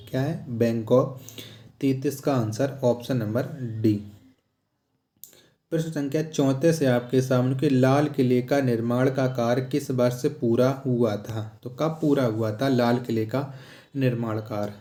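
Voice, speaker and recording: A man's voice: mid-range voice, mellow. A man teaching a quiz lesson as an exam-prep teacher, in a didactic way that repeats questions and answers. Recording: echoey, roomy sound.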